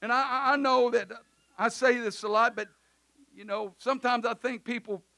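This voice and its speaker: A man preaching a sermon in a church service, loud at times and then dropping to quiet asides. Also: extremely high pitch, fairly even intonation, moderate pace, vocal fry. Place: church sanctuary.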